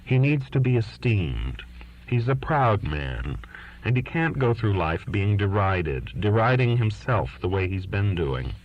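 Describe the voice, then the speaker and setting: A man's voice: on the low side, big pitch swings, unhurried, slight background noise. A man reading as an earnest, defiant twenty-year-old daughter writing to her father, pleading and insistent, on a lo-fi recording. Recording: dull lo-fi recording.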